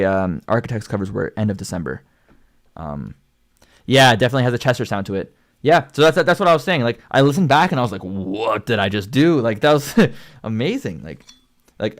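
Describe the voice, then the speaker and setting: A young man with a Canadian accent, a singer chatting casually about his own vocal progress, rambling with many fillers. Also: medium pitch. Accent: Canadian English. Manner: rambling and filler-heavy, lots of likes and ums. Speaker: singer and channel host. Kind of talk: casual livestream commentary.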